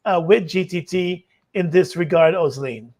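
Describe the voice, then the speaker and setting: A middle-aged man hosting a live online panel, relaxed and hesitant with many fillers. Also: high-pitched, a little slow. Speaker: host. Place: indoors in front of a green screen.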